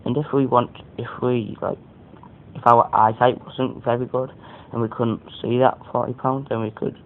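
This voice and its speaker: A man with a croaky voice giving a casual, rambling, off-the-cuff product review as an amateur. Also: level tone, moderate pace. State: croaky voice today. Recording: muffled phone-like sound, faint rumbling noise.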